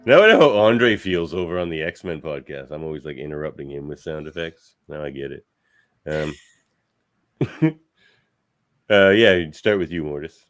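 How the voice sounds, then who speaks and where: A very deep-voiced man hosting a laid-back debate show. He talks loosely, tossing in quick asides and laughing between turns. The delivery stays relaxed and conversational. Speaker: podcast host.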